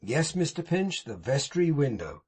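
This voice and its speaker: A man voicing a pompous, sanctimonious middle-aged gentleman in an audiobook, speaking in a grand, moralizing manner with a husky tone. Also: mid-range voice, big pitch swings, a little slow. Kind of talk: audiobook character reading.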